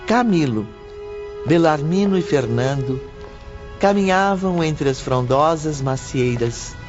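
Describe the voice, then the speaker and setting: A man's voice: fairly high pitch, animated, slow pace, darkish tone. A man reading scripted dramatic narration for an audiobook novel. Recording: dull audio under clear music.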